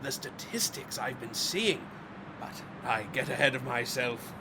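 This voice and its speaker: An actor playing an obsessive, defiant scientist in a radio drama, with a grandiose, declamatory and intense delivery. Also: male voice, mid-range voice, lively intonation, measured pace, noisy background. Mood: eager. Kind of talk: theatrical radio drama acting.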